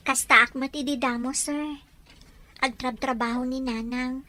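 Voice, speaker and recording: A woman's voice: high-pitched, fairly even intonation, measured pace, bright, forceful tone, a little hiss or hum. A woman playing a polite, inquisitive young housemaid and devoted daughter in an audio drama. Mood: friendly, curious. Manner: polite, questioning.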